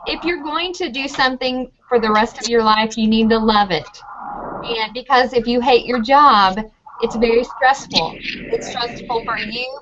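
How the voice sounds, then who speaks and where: Chatty middle-aged woman over dull call. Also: on the high side. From United States. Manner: friendly, chatty, thinks aloud with ums. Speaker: university professor of teaching methods. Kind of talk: casual interview answers. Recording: dull lo-fi audio with clear noise.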